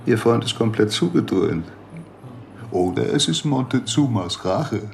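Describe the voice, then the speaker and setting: An actor playing a grumpy, opinionated doctor in a radio drama, scornful and lecturing in a sardonic way. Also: male voice, medium pitch, lively intonation, a little slow, creaky, a little mellow, a little hiss or hum. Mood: disdainful, lecturing. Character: grumpy opinionated older male doctor in Mexico, scornful and mocking.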